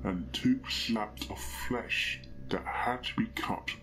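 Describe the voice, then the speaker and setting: Man voicing a slow, brooding, low-voiced detective. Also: pushed and bright, slight background noise, full character voice. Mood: brooding, grim. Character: brooding, hot-tempered male pony police detective. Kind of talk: dramatic fan fiction reading.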